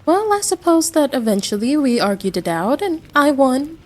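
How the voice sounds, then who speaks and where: A woman playing a caring, motherly American wife in a roleplay audio drama, gentle and reassuring, full of endearments. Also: high voice, sing-song, moderate pace. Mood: affectionate.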